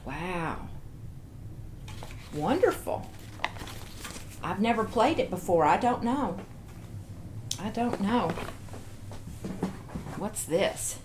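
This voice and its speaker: Slow-talking woman casually showing off toys. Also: mid-range voice, expressive, noisy background. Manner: addresses toys and viewers playfully, cooing. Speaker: host of a toy show-and-tell video. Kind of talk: casual show-and-tell chat.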